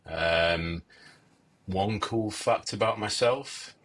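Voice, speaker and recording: A man's voice: lowish voice, extremely slow, fairly bright tone. A man in his forties with an English accent, co-hosting a casual podcast with dry, wry humor. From England. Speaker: podcast co-host. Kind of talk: casual chat.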